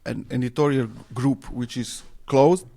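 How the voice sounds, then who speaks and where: A man's voice: medium pitch, steady tone, a little slow, creaky, warm tone. Hesitant Serbian-born man, radio guest. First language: Serbo-Croatian. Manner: hesitant, many fillers and restarts. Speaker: interview guest, anarchist newspaper editor. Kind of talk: radio interview.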